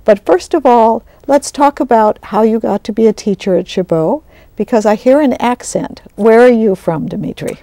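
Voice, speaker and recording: A woman hosts an interview. Her voice is soft-edged and mellow, recorded with very little room sound. She moves between introductions and conversational questions. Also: fairly high pitch, moderate pace, vocal fry, no echo. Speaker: interview host; college business instructor.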